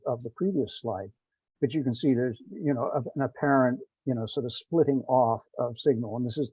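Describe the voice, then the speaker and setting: A man giving an informal technical presentation on a teleconference, speaking in a halting, rambling way. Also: medium pitch, dark tone, breathy edge. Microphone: remote teleconference call. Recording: dull, roomy conference-call audio.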